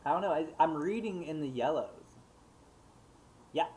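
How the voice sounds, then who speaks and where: A man thinking aloud in a casual, unscripted software demo, hesitant and muttering to himself as he trails off. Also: on the high side, expressive, normal speed. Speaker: livestream host demonstrating animation software. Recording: noisy mic with clicking in background.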